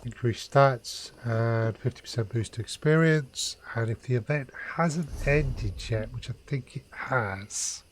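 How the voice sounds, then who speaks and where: A man's voice: medium pitch, unhurried, few pauses, slight background noise. A man with a British accent giving casual live gameplay commentary, thinking aloud in short remarks. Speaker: game streamer. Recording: game music behind the voice.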